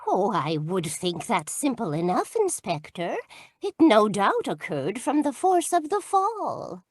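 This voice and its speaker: Woman acting upset rich wife in an old radio mystery, really animated melodramatic delivery, slow and deliberate, dry close sound. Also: mid-range voice, no room sound. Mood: defensive. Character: distressed, indignant wealthy wife under police questioning.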